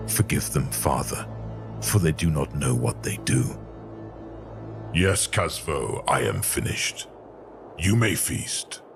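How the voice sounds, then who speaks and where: A man voicing a grim, sorrowful warrior chaplain in an audio drama, speaking in a measured, formal way. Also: a bass voice, very animated, gravelly, music in the background, exaggerated voice. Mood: sorrowful, resigned.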